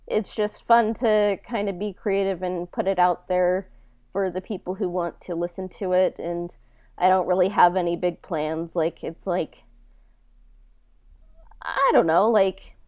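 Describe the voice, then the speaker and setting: A woman with a smooth, clear voice chats as a podcast guest in a dry recording. She takes her time, speaking modestly and thoughtfully, with hesitations as she reflects. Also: on the low side, a little mellow, no room sound. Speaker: podcast co-host being interviewed about own project. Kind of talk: casual, reflective interview chat.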